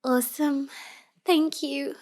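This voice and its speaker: Shy young villainess, played by a woman: high-pitched, unhurried, apologetic and sweetly helpless, for intimate audio roleplay. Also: steady tone. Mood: sweet, guarded. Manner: halting, apologetic, soft-spoken and sweet.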